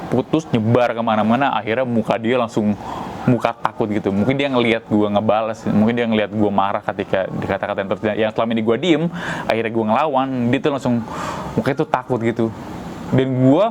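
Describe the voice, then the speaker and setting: A man's voice: lowish voice, fairly even intonation, fast talker, a little mellow, a little hiss or hum. A man from Tegal, giving a casual, rambling opinion monologue as a vlogger and repeating his own phrases. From Tegal, Central Java.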